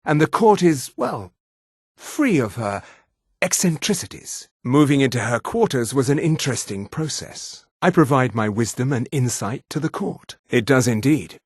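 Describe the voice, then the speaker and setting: An actor voicing a haughty, sneering high elf official in a fantasy video game, speaking in a pompous, condescending, precise manner. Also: male voice, animated, moderate pace, husky, no room sound, clean studio sound. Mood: sly, aloof. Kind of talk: scripted fantasy game dialogue.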